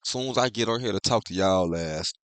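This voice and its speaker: Young male host, low congested voice, relaxed slangy delivery, dry close sound. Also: fast talker, no echo. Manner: laid-back slangy talk, heavy profanity. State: congested, clearing his throat. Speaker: manga review host. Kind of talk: casual chat.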